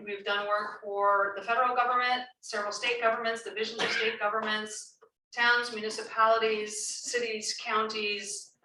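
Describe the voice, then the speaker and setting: A woman facilitating a public town meeting in a loud, brisk, self-assured way, heard from a distance in a meeting room. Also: on the high side. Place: senior center meeting room. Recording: echoey room, distant mic, faint hiss.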